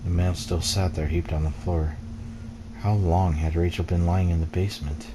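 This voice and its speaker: Man, low-pitched voice, brooding measured storytelling, dramatic game narration, noticeable background noise and ambience. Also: fairly even intonation. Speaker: let's play gamer reading game text aloud.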